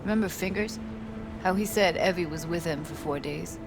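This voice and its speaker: A lowish female voice with music underneath. An actress plays a young woman in a video game who is blunt, foul-mouthed and hotheaded, carrying grief. The delivery is naturalistic and streetwise. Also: moderate pace, close to an everyday voice, rough recording. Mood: sorrowful, brooding.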